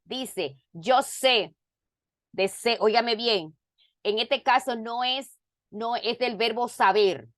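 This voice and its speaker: Woman teacher on a video call, unhurried, repeats herself for emphasis, dry close sound. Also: fairly high pitch, a bit bright, no echo. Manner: slow, repeats words and examples for emphasis. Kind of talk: online class explanation.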